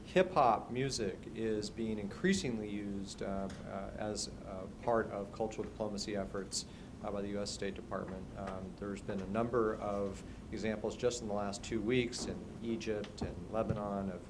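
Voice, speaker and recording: A man's voice: fairly low pitch, fairly even intonation, normal speed. A man moderating a panel, asking long questions with many hesitant fillers. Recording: noisy room recording.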